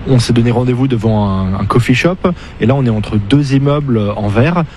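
A male reporter asking interview questions outdoors on a city street, halting, with fillers and restarts. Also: mid-range voice, warm tone, a little hiss or hum.

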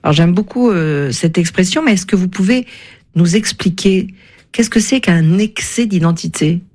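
A woman radio host who asks her author guest measured, articulate questions. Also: fairly low pitch, darkish tone.

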